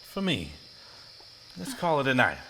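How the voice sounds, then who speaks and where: A protective, weary husband acted by a man in a live stage play. His medium-pitched voice has a bright, forceful edge, and he speaks earnestly with deliberate emphasis. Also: wildly swooping pitch, a little hiss or hum, heavily acted. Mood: gently pleading. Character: protective, weary husband, firm when provoked. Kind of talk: live stage drama acting.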